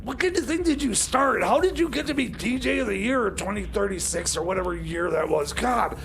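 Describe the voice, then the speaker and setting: A man playing a high-strung time machine mechanic in comedy radio theater, whining and stammering his complaints in a high, gravelly voice. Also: lively intonation, a little fast, fairly bright tone, slight background noise, exaggerated voice. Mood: exasperated.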